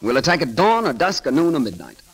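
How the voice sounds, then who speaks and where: An actor playing a witty, bold and romantic grand constable in an eloquent, theatrical 1930s radio style. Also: male voice, fairly high pitch, sing-song, fast, a bit bright, breathy edge, full character voice. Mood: tender, teasing.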